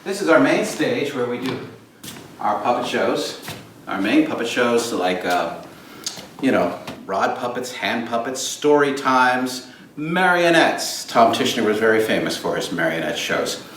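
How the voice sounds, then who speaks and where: A man gives an unscripted guided tour in a lively, swooping voice with a showman's emphasis. He repeats words for effect as he explains things. The sound is roomy and picked up from a distance. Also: mid-range voice, a little slow. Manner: animated, theatrical showman phrasing with emphatic repeats. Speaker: puppeteer and tour host at a puppet theater. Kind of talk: informal show-and-tell guided tour, unscripted. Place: indoors, backstage and storage rooms of a puppet theater. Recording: echoey, distant mic with background noise.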